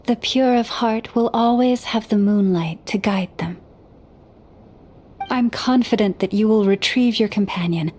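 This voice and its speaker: A woman's voice: mid-range voice, darkish tone, close to an everyday voice. Woman voicing a serene, solemn noble leader. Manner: formal, measured, lofty. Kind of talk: English game dub. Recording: faint background noise.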